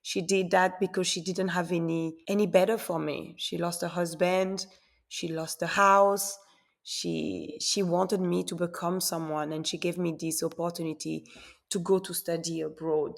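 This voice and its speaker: An earnest woman guest in a podcast interview, her voice full of gravelly fry. She was born in Congo and grew up in Belgium and Switzerland. She speaks reflectively and sometimes repeats a word before going on. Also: fairly low pitch, unhurried. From born in Congo, raised in Belgium and Switzerland. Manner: earnest, repeats words while searching for them.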